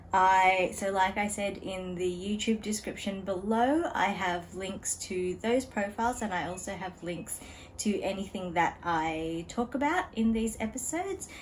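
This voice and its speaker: Woman, unhurried, lowish voice, faint room hiss, casual home vlog. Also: poor audio. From Australia. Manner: relaxed, chatty, frequent ums and small laughs. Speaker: knitting vlogger. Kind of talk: casual chat to camera. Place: home room beside a desk.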